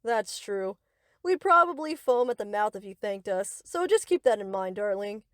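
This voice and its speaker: A woman's voice: medium pitch, expressive, normal speed, a bit bright, no room sound, clean studio sound, natural voice. A woman playing a young yandere captor in a scripted roleplay, speaking to the listener with cutesy, rambling, possessive affection. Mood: sweetly possessive. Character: sweet, obsessive yandere best friend, young woman captor.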